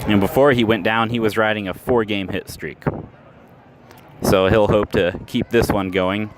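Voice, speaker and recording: A lower-voiced man calls a baseball game pitch by pitch, weaving stats into a steady, measured radio delivery. He was recorded live at an outdoor ballpark, and the open-air ambience and traffic come through clearly behind him. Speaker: play-by-play announcer. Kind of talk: baseball radio play-by-play commentary.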